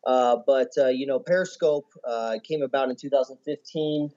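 A man in his thirties with an American accent talks casually as a podcast guest. His medium-pitched voice comes through a little dull, with the top end cut off. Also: moderate pace, warm tone. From US Midwest. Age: 30s. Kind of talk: casual chat.

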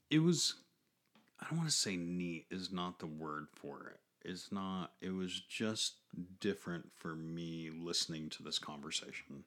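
A man's voice: low-pitched, fairly bright tone. A man in his forties chatting casually as a co-host, rambling and filling his talk with "you know" and "like". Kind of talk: casual chat.

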